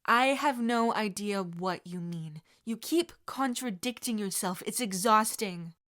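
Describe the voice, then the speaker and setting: A woman voicing a stiff, earnest younger teenage boy who talks formally and defends himself quietly. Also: medium pitch, a little slow, natural voice. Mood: weary.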